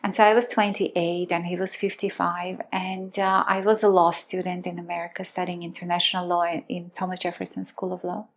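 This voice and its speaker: A woman in her forties with a fairly low voice tells her own story. She speaks earnestly, repeats words for emphasis and slows down on the phrases that matter to her. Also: flat delivery. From Armenia. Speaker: love and relationship teacher. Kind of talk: personal storytelling.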